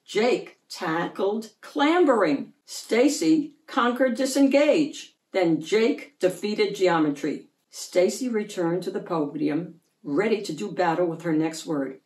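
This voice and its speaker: A woman reading a children's story aloud expressively, with deliberate emphasis on key words. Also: on the low side, lively intonation, darkish tone. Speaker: children's book reader. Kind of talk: expressive picture book read-aloud. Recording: roomy echo, distant microphone.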